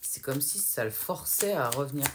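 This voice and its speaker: A woman's voice: rumbling low, expressive, moderate pace, heavy background noise. A woman from France giving a casual, rambling tarot guidance reading and hesitating often as she relays what her guides show her. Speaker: tarot card reader.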